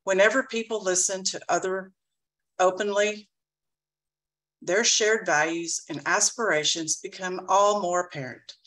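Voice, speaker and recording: A woman reading a prepared campaign speech as a board candidate, measured and earnest. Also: mid-range voice, fairly even intonation, a bit bright, low-quality recording.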